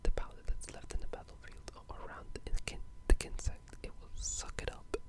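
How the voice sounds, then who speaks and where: A person whispering a casual, halting ASMR ramble about video games, in a breathy, unvoiced voice. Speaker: video game ASMR creator.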